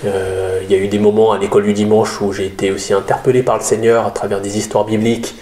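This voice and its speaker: A man's voice: lowish voice, little pitch movement, quick pace, dark tone. A man in his thirties from the Paris region, a guest telling his faith testimony in an earnest, explanatory way. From Paris region, France. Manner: earnest, explanatory, with many "euh". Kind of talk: interview testimony. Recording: roomy sound with faint background noise.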